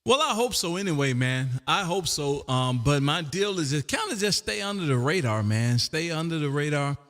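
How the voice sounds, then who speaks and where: An older man around sixty talks in a fairly high-set voice with long reverb around it. He chats in a folksy, rambling way as an amateur radio host, often addressing his listener as "man". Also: animated, moderate pace, a bit bright. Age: older, about 60. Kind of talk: casual rambling radio chat. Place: home radio room. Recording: long echoing room reverb.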